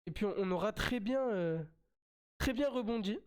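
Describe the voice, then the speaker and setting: A man's voice: high-pitched, expressive, moderate pace, darkish tone, smooth. A man with a French accent and a slight cold, commentating his own mobile game live as a streamer, chatty and repeating himself as he plays.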